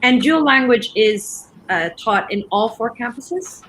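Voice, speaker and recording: Bright, encouraging woman host. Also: on the high side, animated, a little hiss or hum, rough recording. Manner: encouraging, quick to agree. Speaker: interview host. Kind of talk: interview on a livestream.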